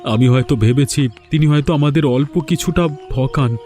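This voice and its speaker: A fed-up village fisherman from an animated folk tale, voiced by a man. His voice is mellow and middle-pitched, with a put-upon but determined manner. Soft music sits behind him. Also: moderate pace, natural voice. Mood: resolved, indignant.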